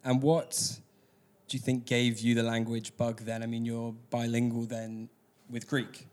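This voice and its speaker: A man's voice: mid-range voice, completely flat delivery, unhurried, a little mellow. Chatty male interviewer. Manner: friendly and chatty, with playful asides. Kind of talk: casual interview conversation.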